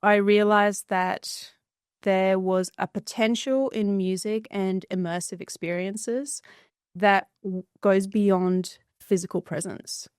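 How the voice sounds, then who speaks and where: Smooth-voiced woman guest. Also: mid-range voice, steady tone, slow, darkish tone, no echo, clean studio sound. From Bulgaria, raised in Australia. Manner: articulate, fluent storytelling. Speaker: guest entrepreneur. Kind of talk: podcast interview.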